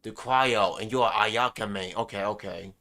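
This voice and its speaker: A man's voice: on the low side, a little slow, bright, forceful tone. A man livestreaming a game with casual commentary, muttering short exclamations to himself and chatting to viewers. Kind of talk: casual live gameplay commentary.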